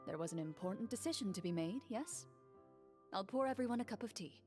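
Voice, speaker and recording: A woman plays a reserved young swordswoman in a video game. She speaks formally and with understated composure at a medium pitch. Faint music runs underneath. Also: lively intonation, a little fast, natural voice. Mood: calm. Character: composed, reserved young female champion duelist.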